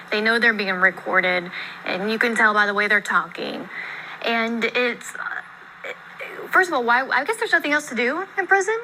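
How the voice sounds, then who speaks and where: American woman, TV news host, very bright sharp voice, mid pitch, informal wry conversational delivery, some background noise. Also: low-quality recording. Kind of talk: live legal news discussion, conversational.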